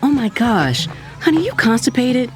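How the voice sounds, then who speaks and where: A woman's voice: on the high side, very animated, normal speed. An actress playing a fussing, blunt, doting middle-aged mother in a scripted audio drama. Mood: fussing, concerned. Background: music.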